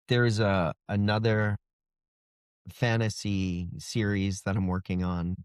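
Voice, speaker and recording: A man with a low-pitched voice talks casually as an interview guest. His delivery is conversational and loose, as if thinking aloud. The sound is dry, with very little room. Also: clean tone, dry recording. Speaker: guest author.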